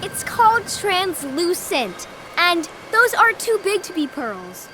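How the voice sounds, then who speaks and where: Very high, bright, bossy science girl. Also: big pitch swings, takes it slowly, slight background noise, full character voice, low-quality recording. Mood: know-it-all. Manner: pedantic, insistent. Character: bossy, brainy schoolgirl obsessed with science. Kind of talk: children's audio drama acting.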